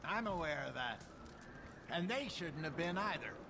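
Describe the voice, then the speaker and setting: An actor playing a sceptical older outlaw in a video game, speaking in a dry, worried way. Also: male voice, on the high side, very animated, moderate pace, background noise. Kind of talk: naturalistic video game acting.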